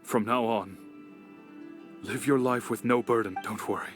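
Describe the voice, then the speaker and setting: A man playing a weary, gentle father and clan head in a video game, speaking solemnly and earnestly. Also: medium pitch, fairly even intonation, measured pace, close to an everyday voice. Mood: weary, resigned. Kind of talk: dramatic video game voice acting. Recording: soft music under the voice.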